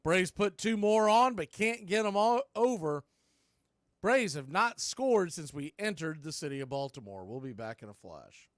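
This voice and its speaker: A man with a high-pitched voice calls a baseball game as a radio play-by-play announcer. The sound is dry and close, with no room echo. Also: very animated, normal speed. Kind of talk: live sports radio play-by-play commentary.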